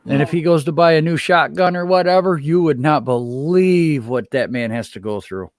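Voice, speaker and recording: A middle-aged man hosting a casual call-in chat, gruff and joking as he tells road stories. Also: fairly high pitch, moderate pace, dry recording. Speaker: show host, retired truck driver.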